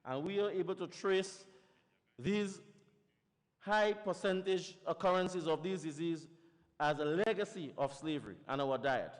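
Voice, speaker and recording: A man from the Caribbean speaking at a panel, working through a list of demands one point at a time in a measured, deliberate way. His voice sits fairly high for a man. The sound carries a long reverberant tail from a big conference hall. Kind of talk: formal conference presentation.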